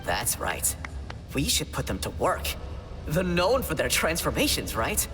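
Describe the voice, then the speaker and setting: An actor voicing a merciless, jeering soldier in a video game, menacing and mocking. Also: male voice, on the high side, huge pitch swings, unhurried, big-room sound, over music.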